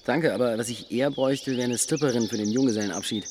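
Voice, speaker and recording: A man's voice: mid-range voice, fast, noisy background, lightly acted. A man playing a cheeky, colloquial young backpacker in a German radio drama, with a bantering manner. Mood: teasing.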